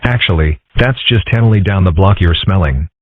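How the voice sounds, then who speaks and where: A man voicing a calm, erudite young fox in a comic strip cartoon dub, husky and deadpan. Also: sits low, moderate pace, no room sound. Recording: muffled phone-like lo-fi audio.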